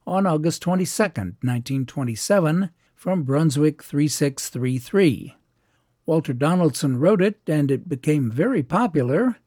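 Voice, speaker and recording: A male radio host narrates from a script and reads out dates and details at an even, measured clip. His voice sits a bit high for a man and moves in a lively way. The sound is warm and dry, with no room around it. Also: dry recording. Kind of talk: scripted record-show narration.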